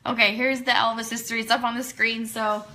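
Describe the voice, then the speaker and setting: High, bright-voiced woman hosting. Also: little pitch movement, brisk. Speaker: show host directing the programme. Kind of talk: casual live broadcast chat.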